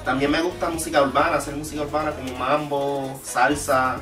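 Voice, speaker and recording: A man's voice: fairly high pitch, level tone, fairly quick, over music. A Puerto Rican man, a guest musician, giving plain, brief answers about his songs. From Puerto Rico. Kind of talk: casual interview answers. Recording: echoey room, mic far off.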